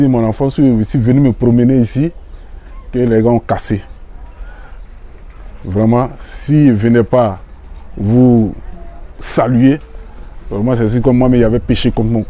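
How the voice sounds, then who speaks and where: A man's voice: medium pitch, mellow. A man with an Ivorian French accent giving an earnest, repetitive speech to a community gathering outdoors. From Côte d'Ivoire. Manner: earnest, repeats phrases, halting restarts. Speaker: visiting association official. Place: outdoor urban neighborhood gathering.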